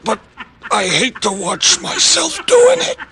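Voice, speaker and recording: A man's voice: high-pitched, huge pitch swings, slow pace, creaky, exaggerated voice. An actor playing a dying gunman in an old radio drama, labored and bitterly joking to the end. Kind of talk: 1940s-50s radio drama acting. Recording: noisy, crackly vintage radio recording.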